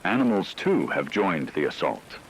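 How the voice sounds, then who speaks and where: A man performs a grave male announcer for a horror radio drama, with a very low-pitched voice. He delivers the scripted report in a solemn, measured, ominous manner, over clearly noisy rain-like ambience. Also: big pitch swings. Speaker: radio emergency broadcast announcer.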